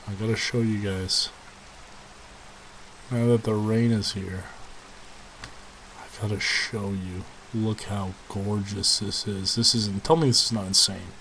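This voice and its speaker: A man's voice: on the low side, a little slow, pauses often, a little hiss or hum, poor audio. A young man with a slight cold, chatting casually to his viewers as he plays a video game. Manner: relaxed, chatty, thinks aloud, addresses viewers as guys. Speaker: gaming video host.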